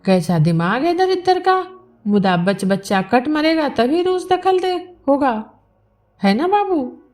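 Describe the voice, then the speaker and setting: A woman's voice: high-pitched, very animated, takes it slowly, darkish tone, faint music behind, voice pushed up, heavily acted. A woman voicing a long-suffering, unlettered village widow aunt in an audiobook, speaking in a rustic, plainspoken way. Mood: firm conviction.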